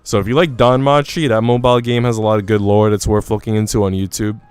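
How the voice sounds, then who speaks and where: Guy streamer chatting, slangy, smooth clear voice up close, faint music behind. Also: on the low side, little pitch movement, quick pace, no echo. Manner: informal, slangy. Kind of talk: casual livestream chat and visual novel reading.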